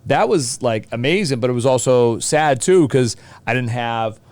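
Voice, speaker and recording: Casting: male podcast guest in his forties, Boston accent, crisp voice, casual and emphatic talker. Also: mid-range voice, animated, moderate pace, a little mellow, no room sound. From Boston, USA. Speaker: teacher and hockey coach, podcast guest. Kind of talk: casual interview chat.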